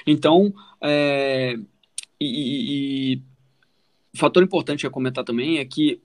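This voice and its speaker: A man's voice: medium pitch, measured pace. A man with a Brazilian Portuguese accent telling his own story in a casual, informal way as a podcast co-host, often adding little check-in questions.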